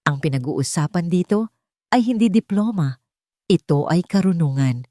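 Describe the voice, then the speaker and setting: A woman narrator with a Filipino accent voicing a calm, supportive wife and mother in an audiobook story. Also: lowish voice, normal speed, dry recording, clean studio sound, lightly acted. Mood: gentle, reassuring. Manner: soft-spoken, calm.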